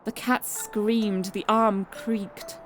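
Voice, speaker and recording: A woman's voice: medium pitch, level tone, drawn-out words. A woman streamer reading visual novel narration aloud, expressively and dramatically, with casual asides to viewers. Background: music.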